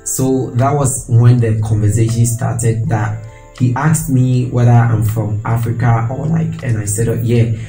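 A man's voice: medium pitch, fairly even intonation, measured pace, warm tone, roomy sound, faint music behind, rough recording. A young man from Ghana with a Ghanaian English accent, telling his freelance story to camera on a lapel mic with earnest hand gestures. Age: young adult. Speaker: freelance designer and video creator. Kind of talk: casual talking-head video. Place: small home room with shelves. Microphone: clip-on lapel mic.

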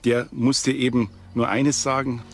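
Male professor explaining, medium-pitched voice. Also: little pitch movement, unhurried. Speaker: professor, expert interviewee. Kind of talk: explanatory documentary interview.

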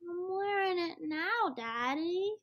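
A woman's voice: very high voice, lively intonation, slow, dull audio, exaggerated voice. A woman playing a mischievous, stubborn little girl in a 1940s radio comedy, with whiny, insistent questioning.

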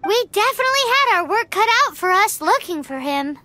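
A woman voicing a tiny, chirpy, bossy floating fairy girl companion in a video game, with a squeaky childlike voice. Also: big pitch swings, brisk, pushed and bright, no echo, full character voice. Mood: satisfied. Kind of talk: video game character dialogue. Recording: game music behind the voice.

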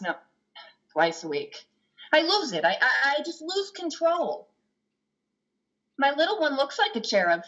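A woman playing a guilt-ridden, flustered mother at confession, rambling and halting in a play reading. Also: fairly high pitch, sharp tone. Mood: sheepish confession. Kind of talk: play reading on a video call. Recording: dull, lo-fi video call.

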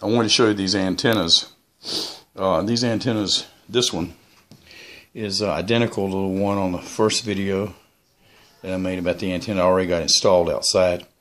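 A man's voice: sits low, fairly even intonation, fairly quick, a bit bright. A man talking casually and unscripted as he shows off gear in a vlog, in a rambling, hesitant way. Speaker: YouTuber presenting his radio antennas. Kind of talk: casual unscripted product show-and-tell.

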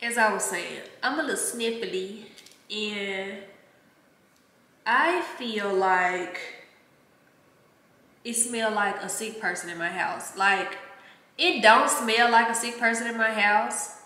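A woman's voice: mid-range voice, slow pace, pauses often, pushed and bright. A young woman vlogger with a cold, talking casually to camera in her home kitchen, chatty and slangy with plenty of swearing. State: sniffly with a cold. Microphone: camera on a counter, mid-distance. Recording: echoey kitchen.

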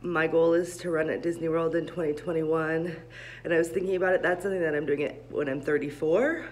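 A woman's voice: sits low, fairly even intonation, fairly quick, a little mellow, slight background noise. A woman in her thirties chatting upbeat and rambling into a phone held close, first in a gym hallway and then in a parked car. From Vermont, USA. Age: 30s. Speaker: vlogger. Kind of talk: casual vlog chat.

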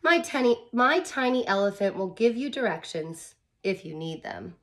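A woman in her thirties reads a picture book aloud to young children and comments on it in simple, expressive, wonder-filled phrases. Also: mid-range voice, moderate pace, slight background noise. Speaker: teacher reading aloud to children. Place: small indoor room, curtained corner.

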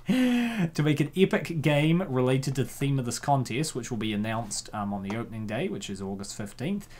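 A man's voice: mid-range voice, expressive, normal speed, a little mellow. A man hosting casual comic commentary, jokey and given to exaggerated reactions. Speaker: host.

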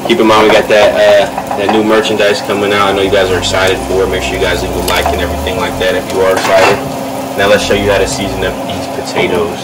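Low-voiced young man vlogging in his kitchen. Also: completely flat delivery, fast talker, heavy background noise. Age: young adult. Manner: laid-back, chatty, talks to viewers while cooking. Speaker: fitness vlogger. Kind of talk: casual cooking vlog. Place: home kitchen, indoors. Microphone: camera set on the counter, a few feet away. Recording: distant camera mic, music behind.

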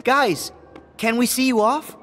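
A man playing an eager, kind teenage boy hero in an animated video game. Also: extremely high pitch, measured pace, long reverb tail, soft background music. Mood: concerned, eager.

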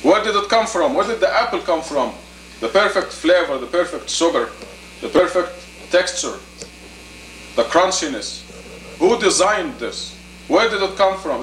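A man in his fifties giving a sermon as an imam, deliberate and emphatic, in short phrases and rhetorical questions. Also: a little high, a bit bright. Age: 50s. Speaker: imam, preacher. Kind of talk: sermon, teaching plainly to a congregation. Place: indoor meeting room. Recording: roomy, distant camcorder audio with faint noise.

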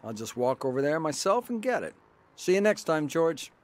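A man's voice: fairly high pitch, sing-song, moderate pace. An actor with an American accent playing a wary, hard-bitten man on the run in a radio drama, terse and wry. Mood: guarded, wry. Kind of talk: radio drama acting and first-person narration.

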